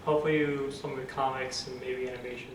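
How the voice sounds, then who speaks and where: Flat-toned young man, roomy sound. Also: mid-range voice, fast talker, a little hiss or hum. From Ohio, United States. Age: young adult. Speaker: graduating high school senior. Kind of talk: informal on-camera interview. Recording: roomy, distant mic, faint music behind.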